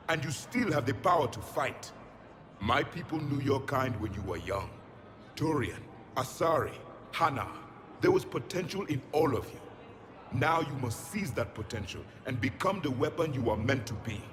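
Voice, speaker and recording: An actor playing a proud, ancient alien warrior who speaks in a solemn, deliberate, commanding way in a video game. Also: male voice, medium pitch, big pitch swings, big-room sound, noisy background, lightly acted. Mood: rousing, solemn. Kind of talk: dramatic game voice acting.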